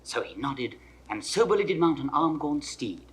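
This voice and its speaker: A courtly, lively-toned messenger. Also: male voice, fairly high pitch, normal speed. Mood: lyrical. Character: courtly male messenger, deferential, flowery attendant to a queen. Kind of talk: 1960s classical Shakespeare audio drama acting.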